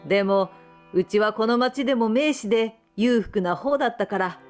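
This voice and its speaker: A woman's voice: a little high, normal speed, soft background music, close to an everyday voice. A woman playing an amused middle-aged mother of a grown man in a radio drama. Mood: fond, explaining. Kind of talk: radio drama acting in Japanese.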